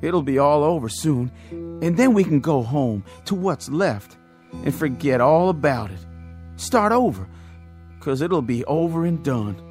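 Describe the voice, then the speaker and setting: An actor playing a desperate Confederate soldier, urging and pushing in an old-time radio drama. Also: male voice, on the high side, big pitch swings, unhurried, darkish tone. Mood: weary. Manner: urgent, pushing. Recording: old radio recording with music.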